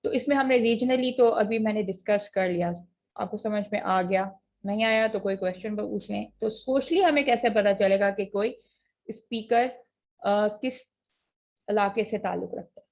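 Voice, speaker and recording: Female teacher, online lecture, gravelly voice, muffled lo-fi audio, reads definitions slowly. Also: mid-range voice, darkish tone. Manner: explains in a mix of Hindi and English, reads slide definitions slowly. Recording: dull lo-fi online class audio.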